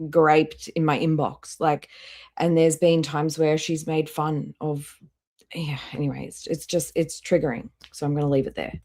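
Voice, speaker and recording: A woman's voice: deep voice, a little mellow. A woman hosting a live stream with casual, blunt, critical reaction commentary and some swearing.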